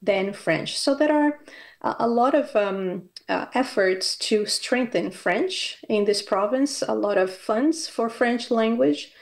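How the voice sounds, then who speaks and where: A woman's voice: a little high, lively intonation, takes it slowly, gravelly. A woman from Brazil giving a conference presentation as a university academic, speaking with frequent fillers and restarts. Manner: hesitant, many fillers and restarts.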